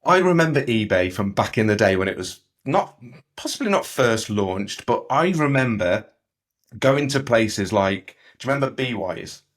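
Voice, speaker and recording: A man's voice: medium pitch, animated, moderate pace. A man in his forties hosting a podcast, upbeat and welcoming as he talks with his guest. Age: 40s. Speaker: podcast host. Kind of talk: podcast interview.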